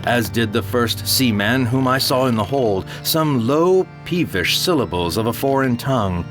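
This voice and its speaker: A man narrating a first-person audio drama in a grave, theatrical manner. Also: lowish voice. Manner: grave, theatrical, rising to frantic cries. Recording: music bed under the voice. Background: music.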